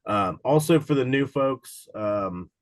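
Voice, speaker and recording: A man with a slightly raspy voice from a cold, running a casual live online study session for an insurance exam and talking in a loose, rambling way with many fillers. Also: mid-range voice, expressive, takes it slowly, dry recording. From Cincinnati, USA.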